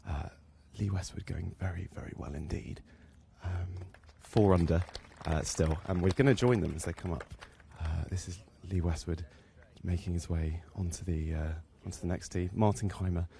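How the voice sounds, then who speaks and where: Hushed, gravelly low-voiced man reporting golf. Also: a little hiss or hum. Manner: hushed, talking quietly on the course. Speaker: on-course reporter. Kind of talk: live walk-along golf commentary. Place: outdoors on a golf course.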